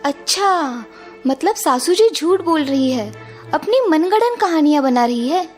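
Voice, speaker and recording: A woman's voice: high voice, animated, a little slow, darkish tone, soft background music. A woman playing a polite, dutiful young daughter-in-law in a cartoon story, speaking earnestly. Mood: realising. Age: young adult. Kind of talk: Hindi cartoon story acting.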